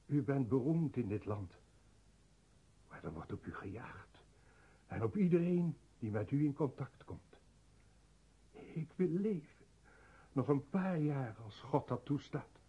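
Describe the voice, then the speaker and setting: An actor playing a fearful, pleading ninety-year-old peasant woodcutter in a radio drama, deferential and halting. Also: male voice, mid-range voice, lively intonation, slightly rough. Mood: frightened, hushed. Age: ninety in the role. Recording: muffled, dull radio drama recording.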